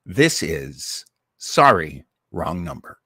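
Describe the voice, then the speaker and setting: A man's voice: low-pitched, big pitch swings, takes it slowly, pushed and bright, slight background noise. A man hosting a listening party, talking casually and effusively as a fan of old radio drama. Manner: effusive, emphatic fan talk. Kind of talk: casual enthusiastic introduction to old radio shows.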